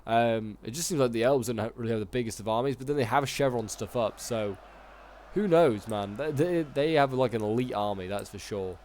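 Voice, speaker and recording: A man with a sore throat, slightly hoarse, giving casual, rambling commentary on a strategy game battle with lots of fillers. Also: mid-range voice, fairly quick, a little mellow, slight background noise. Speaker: gaming YouTuber casting a multiplayer battle.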